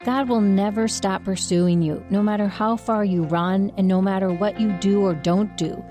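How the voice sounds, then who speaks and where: Woman, scripted inspirational radio read, mid-pitched, faint music. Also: steady tone, normal speed, dark tone.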